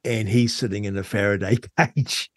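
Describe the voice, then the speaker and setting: A man with a fairly low voice and a New Zealand accent hosts a radio talk show. He speaks conversationally and reflectively, halting as he pieces his thoughts together. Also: steady tone, a bit bright, dry recording, clean studio sound.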